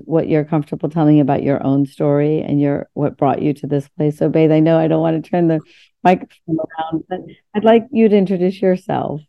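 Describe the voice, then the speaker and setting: A woman speaks casually in a podcast conversation, animated and full of asides. There is a noticeable creak in her voice. The sound is dry and close, a little dull and lo-fi. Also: low voice, dry recording.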